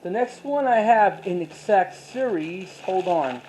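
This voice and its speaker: A collector giving a casual show-and-tell commentary, speaking haltingly with repeats and self-corrections. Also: mid-range voice, lively intonation, a little mellow, slight background noise, low-quality recording.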